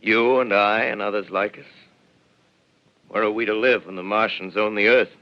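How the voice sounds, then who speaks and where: An actor playing a weary, shaken male scholar who speaks in a subdued, measured, questioning way. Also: lowish voice, fairly even intonation, close to an everyday voice. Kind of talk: 1930s radio drama acting. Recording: dull lo-fi vintage radio broadcast.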